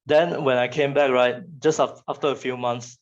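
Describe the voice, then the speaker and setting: A man's voice: mid-range voice, steady tone, moderate pace. Young man, Malaysian English accent, Chinese first language, casual motivational talk, repeats words, muffled lo-fi sound. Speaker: speaker giving a talk.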